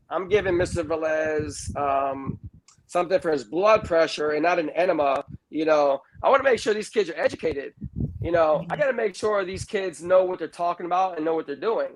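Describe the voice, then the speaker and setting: A man in his early fifties talking casually and informally as a candidate in an interview, with emphatic repetition. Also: high voice. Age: early 50s. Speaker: school board candidate being interviewed. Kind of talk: casual, unscripted interview talk. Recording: clearly noisy recording with clicks.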